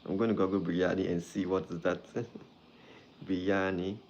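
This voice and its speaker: Deep-voiced man chatting live. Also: flat delivery, normal speed, darkish tone, dull audio, slight background noise. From Ghana. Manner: friendly, greets viewers by name, repeats phrases. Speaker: doctor hosting a live stream. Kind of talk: live-stream chat with viewers.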